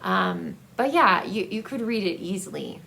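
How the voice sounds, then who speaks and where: Halting woman with a creaky voice. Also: mid-range voice, very animated, sharp tone, a little hiss or hum. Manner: hesitant, halting, rambling. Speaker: book vlogger. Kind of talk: casual book review chat to camera.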